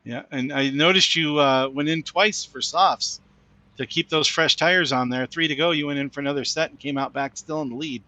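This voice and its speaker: Guy hosting an online race stream, slightly higher voice, easygoing chatty. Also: moderate pace, bright, forceful tone, no echo. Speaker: race commentator host. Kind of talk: post-race interview hosting.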